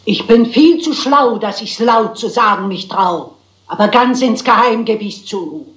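Elderly spinster sleuth: highish, prim, articulate, stagey, roomy dub sound. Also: female voice, lively intonation, measured pace. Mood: dramatic reciting. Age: older. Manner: theatrical, articulate, dignified. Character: prim, plucky elderly spinster amateur sleuth auditioning as actress. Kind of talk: German dubbed crime drama acting.

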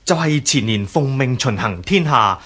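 A man's voice: medium pitch, animated, normal speed, a bit bright, slightly rough. A male actor playing an earnest, deferential younger brother reporting to his elder in a radio drama, with a Hong Kong Cantonese accent.

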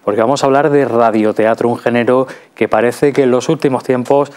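A man's voice: mid-range voice, fairly even intonation, a little fast, a little mellow. A man with an accent from Spain, hosting a studio interview on a culture programme. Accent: Spanish (Spain). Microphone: lapel.

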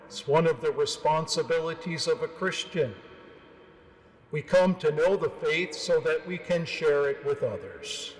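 Slow-paced older priest in an echoing church. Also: male voice, on the high side, slight background noise. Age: 60s. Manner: measured, solemn, with deliberate pauses. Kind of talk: sermon. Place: large stone cathedral, at the pulpit. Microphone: gooseneck pulpit microphone. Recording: long echoing reverb of a large church.

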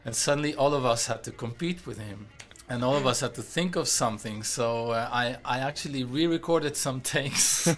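A man from Argentina who grew up in Israel, a composer talking in a casual video-call interview, reflective and halting with many restarts. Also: medium pitch, a bit bright, soft background music. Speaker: composer and musician, guest. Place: home room, indoors.

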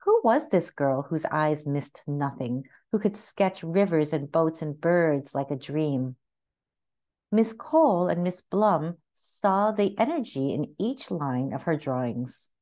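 A woman reading a children's picture book aloud as narrator, with expressive, carefully phrased storytelling. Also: low voice, takes it slowly.

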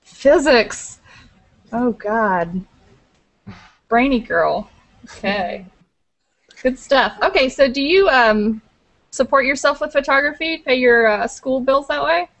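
A woman, co-hosting a webcam panel and introducing guests in a brisk, upbeat way. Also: high voice, lively intonation. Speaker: co-host. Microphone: webcam video call.

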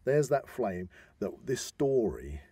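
A man's voice: mid-range voice, sing-song, slow, a crowd in the background. A middle-aged British man chatting casually and enthusiastically on a podcast, repeating phrases for emphasis. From United Kingdom.

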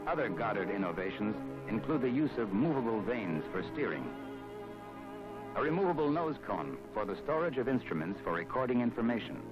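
A man reading scripted documentary narration in a measured, formal, dramatic way with weighty pauses, on an archival film soundtrack. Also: mid-range voice, very animated, highs cut off. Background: prominent background music.

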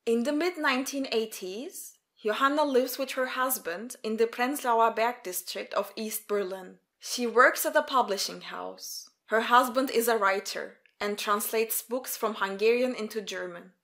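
A woman's voice: on the high side, a little slow, few pauses, fairly bright tone. A woman reading scripted narration for a documentary story in the third person.

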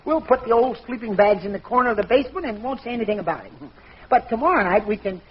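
A bumbling suburban dad in an old radio comedy, swinging wildly in pitch as he stammers and hedges. He is sheepish and easily flustered. The recording is muffled, with some background noise. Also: animated, quick pace. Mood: sheepish, coaxing. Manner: hesitant, stammering, muttering agreement. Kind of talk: 1940s radio comedy acting.

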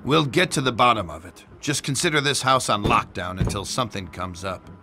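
An actor playing a weary, dogged American police detective in a video game, matter-of-fact and noir in style. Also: male voice, fairly low pitch, expressive, fairly quick, noisy background. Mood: stern. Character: weary, dogged male police detective investigating strange deaths. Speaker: detective character and narrator. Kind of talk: noir game voice acting and scripted narration.

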